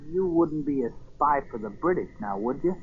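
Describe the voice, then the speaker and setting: A man's voice: fairly high pitch, animated, moderate pace, breathy edge. A man playing a suspicious, guarded young shop boy in a 1940s radio drama. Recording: muffled 1940s radio recording.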